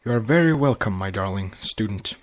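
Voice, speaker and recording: A man voicing a gracious, affectionate regal female pony mentor in a story, warm and formal. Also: on the low side, lively intonation, fairly quick, natural voice.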